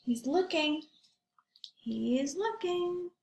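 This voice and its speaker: Lady teacher doing storytime for young kids, highish voice, expressive, kinda echoey room. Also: drawn-out words, creaky, slight background noise. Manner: animated storytelling, addresses young children with questions. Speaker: primary school teacher reading aloud to pupils. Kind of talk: expressive children's story read-aloud with chatty asides.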